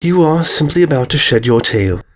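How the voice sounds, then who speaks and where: Man voicing a proper, raised-pitch motherly big-sister mare. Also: lively intonation, normal speed, close to an everyday voice. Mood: reassuring. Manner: proper, soothing, cooing. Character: refined, motherly older-sister mare, gently reassuring.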